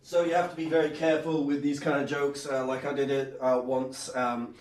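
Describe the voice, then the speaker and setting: A man performing a stand-up comedy routine in a rambling, animated way, often interrupting himself. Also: medium pitch, fairly quick. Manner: rambling, self-interrupting, animated, sometimes shouting. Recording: echoey room, distant microphone.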